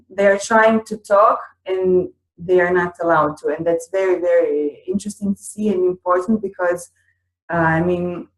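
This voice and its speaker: Woman, Croatian accent, non-native English, unhurried, filler-heavy, roomy echo. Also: fairly low pitch. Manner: halting, many fillers and restarts. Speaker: teacher and co-presenter. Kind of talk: unscripted conference talk.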